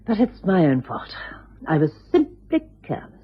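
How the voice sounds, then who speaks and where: Genteel aristocratic English widow, husky old recording. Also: female voice, on the low side, big pitch swings, normal speed. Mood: composed, regretful. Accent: upper-class English. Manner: genteel, dramatic. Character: aristocratic English widow, dignified, formal, easily distressed. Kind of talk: radio drama acting. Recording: muffled, husky-sounding old radio recording.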